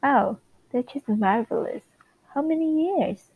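A woman's voice: high voice, animated, measured pace, breathy edge, no room sound, phone-quality sound, voice pushed up, heavily acted. A woman playing a nosy, chatty 1950s sitcom housewife neighbour, gushing in folksy old-fashioned slang.

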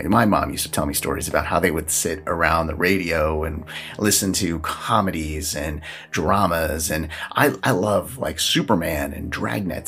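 A man's voice: low-pitched, a bit bright. A man hosting a podcast, talking in a chatty, informal way and moving between casual conversation and sponsor reads. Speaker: podcast host. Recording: music behind the voice. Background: background music.

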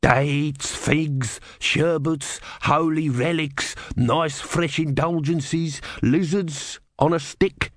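A skinny, oily street hawker from a storybook, acted by a male narrator. He wheedles hopefully with a slightly high voice, close and dry. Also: lively intonation, slow, dry recording. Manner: wheedling sales patter.

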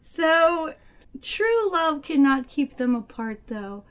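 A woman co-hosting a casual movie review podcast, her voice a bit hoarse as she gets over being sick, often laughing as she talks. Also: sits high, very animated, slow. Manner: giggly, laughs while summarizing. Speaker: podcast co-host.

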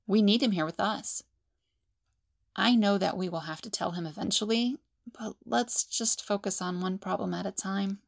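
An anxious, protective woman, played by an actress in an audio drama. Her mid-pitched voice has plenty of creak. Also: moderate pace. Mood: worried.

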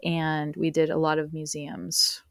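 Low-voiced young woman, casual rambling. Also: completely flat delivery, a little slow, creaky, fairly bright tone, no echo, clean studio sound. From United States. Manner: rambling, uses many fillers and "like". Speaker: podcast guest. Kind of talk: casual conversational interview.

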